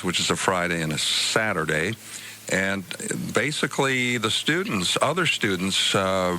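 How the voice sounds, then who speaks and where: Deep-voiced, halting older American man. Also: sharp tone, a little hiss or hum. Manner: halting, measured, pauses between short phrases. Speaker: interview guest, college department chair and theater director. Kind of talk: radio interview, explaining.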